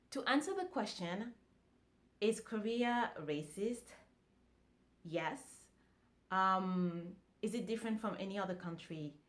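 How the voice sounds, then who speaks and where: Relaxed woman. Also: fairly low pitch. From France. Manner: relaxed, conversational, many fillers and restarts. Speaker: vlogger. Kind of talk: casual talk to camera.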